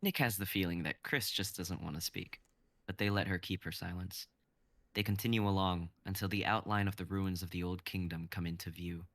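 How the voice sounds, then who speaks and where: A man narrating a script and its stage directions in an even, descriptive way. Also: low voice, fairly quick, no room sound. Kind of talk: reading a script aloud.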